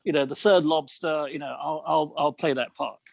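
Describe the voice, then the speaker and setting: A man's voice: on the high side, steady tone, normal speed, gravelly, dry recording, low-quality recording. A man chatting as an actor guest in an interview, hesitant and self-deprecating. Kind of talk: casual interview chat.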